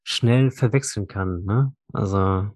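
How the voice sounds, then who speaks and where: A woman's voice: extremely low pitch, slow, a little mellow, no echo, clean studio sound. A woman, a native German speaker, chatting casually as an interviewer. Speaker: interviewer and conversation partner. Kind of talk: casual bilingual chat.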